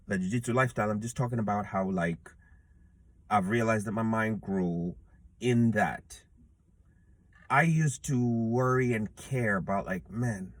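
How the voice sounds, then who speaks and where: A man's voice: medium pitch, fairly bright tone, no echo. A man in his thirties talking to himself outdoors in an earnest, rambling way, with many restarts and fillers. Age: 30s. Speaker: jiu-jitsu purple belt vlogger. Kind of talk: reflective casual monologue. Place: outdoors in a public place.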